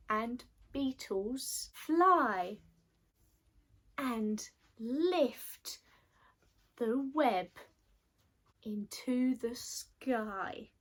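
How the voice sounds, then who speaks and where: Female children's storyteller, higher register, very slow and deliberate, expressive delivery. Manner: slow, deliberate phrasing in short broken chunks. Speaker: storyteller reading aloud. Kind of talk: children's picture-book read-aloud with signing.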